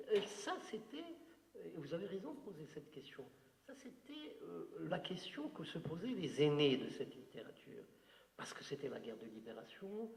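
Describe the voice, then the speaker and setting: A man's voice: a little high, gravelly. A male author from Algeria, whose first language is Algerian Arabic, giving an unscripted talk to an audience, digressive and emphatic with many fillers. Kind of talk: unscripted lecture answering a question. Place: hall with audience. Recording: roomy hall with long reverb.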